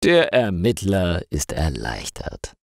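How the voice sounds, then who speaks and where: A man reading a crime story aloud in slow, deliberate scripted narration for language learners. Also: sits low, no echo, clean studio sound. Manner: clear, deliberate reading with lively intonation.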